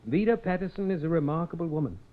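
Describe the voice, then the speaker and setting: A man's voice: fairly high pitch, lively intonation, fast, phone-quality sound, natural voice. An actor playing a pompous, disdainful man who speaks formally in an old-time radio drama. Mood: stiff, solemn. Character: stuffy, haughty, disdainful male client. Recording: thin, band-limited vintage radio recording.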